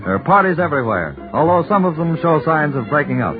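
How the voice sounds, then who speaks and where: A man's voice: a little high, very animated, fast. A man announcing and narrating in a dramatic, scripted 1940s radio style. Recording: tinny 1940s radio with music behind.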